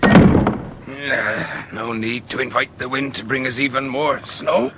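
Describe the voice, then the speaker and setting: An actor playing an affable, evasive monastery porter in a radio drama. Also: male voice, medium pitch, slow pace. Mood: evasive, welcoming. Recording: noisy outdoor ambience behind voice.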